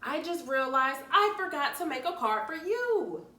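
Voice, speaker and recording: A woman voicing an earnest, easily worried young male cat in an expressive children's storybook read-aloud. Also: sits high, measured pace, pitched above the performer's own voice, heavily acted. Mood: sheepish. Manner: exclamatory, expressive. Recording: roomy, distant mic, faint noise.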